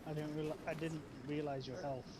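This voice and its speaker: A man with a loud voice, chatting and joking casually with friends while playing a video game. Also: a little high, little pitch movement, fairly quick, a little hiss or hum. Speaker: gaming video creator. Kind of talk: casual gameplay chat with friends.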